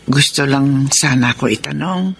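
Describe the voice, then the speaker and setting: A Filipino actress playing a worried, loving middle-aged mother in a radio drama, gentle and hesitant. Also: extremely low pitch, moderate pace, vocal fry, slight background noise. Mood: concerned, hesitant.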